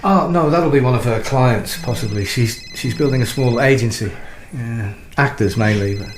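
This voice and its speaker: An actor playing an awkward, evasive husband, politely hesitant and stammering, in a naturalistic radio drama. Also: male voice, medium pitch, moderate pace, close to an everyday voice. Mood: flustered, evasive. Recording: roomy echo with faint noise.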